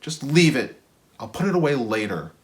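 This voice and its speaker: A man's voice: medium pitch, very animated, moderate pace, lightly acted. A sulky twelve-year-old girl from a children's storybook, acted out by a male reader. She is curt, impatient and exasperated, snapping like a moody kid who wants to be left alone. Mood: irritated, sulky.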